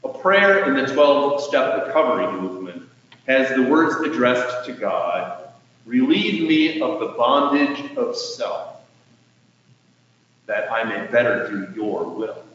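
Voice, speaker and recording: A man preaching a sermon as a pastor, speaking in a measured, earnest way with emphatic swells and soft endings to phrases. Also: medium pitch, animated. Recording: distant mic in echoey hall, dull, faintly noisy.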